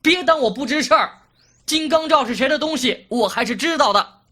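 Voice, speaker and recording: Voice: high-pitched, animated, brisk, pushed and bright. A performer playing a mouthy, petulant young fox-spirit boy in a Chinese audio drama, scolding and cocky. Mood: indignant.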